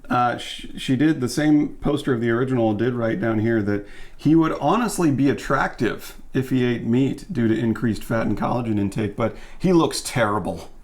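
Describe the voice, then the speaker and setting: A man with a deep, raspy voice co-hosting a casual chat, making wry, joking remarks. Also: normal speed, darkish tone. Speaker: co-host.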